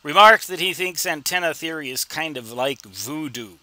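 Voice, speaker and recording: Very bright-voiced man giving an informal tutorial. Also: a little high, lively intonation, dry recording. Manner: wry, folksy humor, deliberate phrasing. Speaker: instructor answering reader questions.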